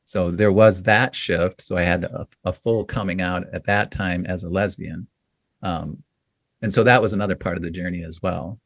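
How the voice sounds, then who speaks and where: A man in his fifties with a low, gravelly voice speaks reflectively as an interview guest. He talks in halting runs, restarting phrases and leaning on fillers. The sound is close and dry, with no room around it. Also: fairly even intonation, dry recording. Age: 50s. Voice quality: deep, gravelly voice. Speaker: guest, writing coach.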